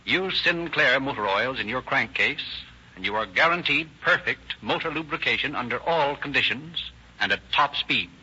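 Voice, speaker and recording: A man's voice: mid-range voice, lively intonation, moderate pace, noisy background. A male radio announcer reading a 1930s commercial script with a polished, emphatic sales delivery. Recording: muffled old 1930s radio recording.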